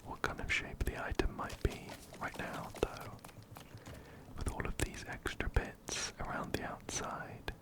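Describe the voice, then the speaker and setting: A man whispering softly and breathily into a close binaural mic as he hosts an ASMR game playthrough, unhurried and often repeating words and phrases. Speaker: ASMR video host. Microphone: close-up binaural mic, ear to ear.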